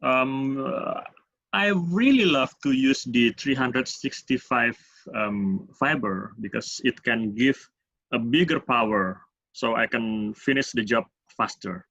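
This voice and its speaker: Man, Indonesian accent, medical presentation, hesitant halting delivery, medium pitch, dull lo-fi webinar audio. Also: a bit bright, dry recording. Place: online webinar.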